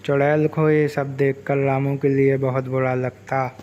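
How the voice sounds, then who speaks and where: Male story narrator, mid-pitched, unhurried, very even intonation, scripted delivery. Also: dull audio, slight background noise.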